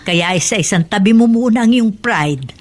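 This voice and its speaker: An actress playing a caring aunt who works as a laundress, with a reassuring and practical way of talking. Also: female voice, medium pitch, animated, moderate pace, lightly acted. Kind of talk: radio drama acting.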